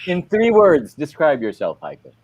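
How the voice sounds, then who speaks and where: A man's voice: on the high side, huge pitch swings, moderate pace, heavy background noise. A man co-hosting an interview in casual chat, tossing in laughs and playful asides. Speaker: co-host interviewer.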